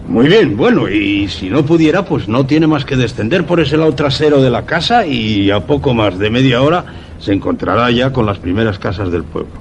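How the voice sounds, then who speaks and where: An actor playing a wary, reluctant country shopkeeper in a suspense radio play, halting and plain-spoken. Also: male voice, mid-range voice, expressive, slight background noise, lightly acted. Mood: wary, cautioning. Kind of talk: radio theatre acting.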